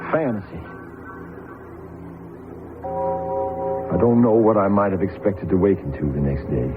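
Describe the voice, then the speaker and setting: Low-voiced, tormented young loner. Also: male voice. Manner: earnest, introspective, halting when pleading. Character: very tall, lonely, tormented young man, feels alien. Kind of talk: radio drama acting, first-person narration and dialogue. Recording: tinny old radio broadcast recording. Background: music.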